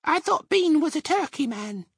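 A man voicing an excitable small young male fox cub in a storybook reading, squealing with breathless excitement. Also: extremely high pitch, normal speed, dry recording, clean studio sound, pitched above the performer's own voice, heavily acted. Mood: curious.

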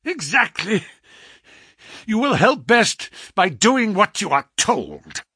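A man doing a croaking, feverish sick detective. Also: sits high, sing-song, slow pace, no echo, studio quality, voice pushed up, exaggerated voice. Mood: imperious. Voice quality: croaking, feeble. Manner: sharp, commanding. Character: gravely ill male detective, imperious and feverish.

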